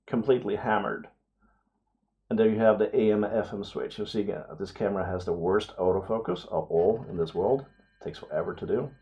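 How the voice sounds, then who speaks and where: A man giving casual unboxing commentary to camera, excitable and prone to awestruck exclamations and hesitations. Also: fairly low pitch. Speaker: vlogger, radio amateur hobbyist.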